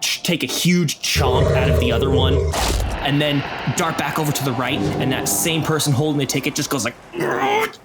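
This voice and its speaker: A man narrating an improvised tabletop game as game master, describing scenes in a halting, cinematic way. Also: medium pitch, big pitch swings, very noisy. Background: music.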